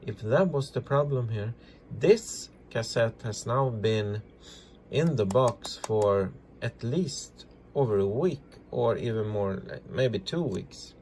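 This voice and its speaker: A man presenting a casual hands-on repair tutorial, talking through each step in halting, simple non-native English. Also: medium pitch, animated, a little mellow, slight background noise. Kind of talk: casual hands-on demonstration, narrating as they work.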